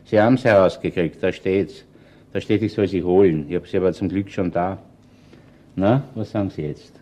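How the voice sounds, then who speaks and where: An actor playing a calm, shrewd middle-aged police chief inspector with an Austrian accent, speaking in a measured, persuasive way in a radio play. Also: male voice, low voice. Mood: probing. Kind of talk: radio play acting, natural dialogue. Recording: dull band-limited old radio recording.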